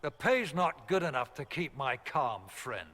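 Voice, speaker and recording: An actor playing a foul-mouthed, disgruntled mercenary captain in a video game, blunt and sardonic. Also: male voice, mid-range voice, sing-song, measured pace, pushed and bright, exaggerated voice. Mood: angry, exasperated. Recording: long hall reverb.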